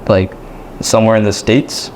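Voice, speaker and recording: Man asking halting questions. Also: lowish voice, little pitch movement, darkish tone, slight background noise. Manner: curious, halting questions full of "like". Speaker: podcast host. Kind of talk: casual interview chat.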